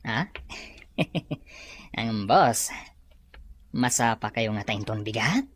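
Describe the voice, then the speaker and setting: Snickering, swoopy-voiced Filipino sidekick. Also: male voice, lowish voice, lively intonation, extremely slow, exaggerated voice. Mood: nervous teasing. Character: sly, giggly male errand sidekick deferring to his boss. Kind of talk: radio drama acting.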